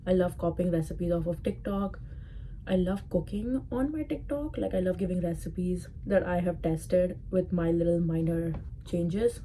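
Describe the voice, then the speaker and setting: A young woman in her early twenties with an Indian accent, chatting easily to her viewers. Her voice is very mellow and dark, sitting a little low. She talks casually and loosely, with plenty of "like" along the way. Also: moderate pace, a little hiss or hum, low-quality recording. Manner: chatty, full of "like" fillers. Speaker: vlogger. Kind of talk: casual chat to camera.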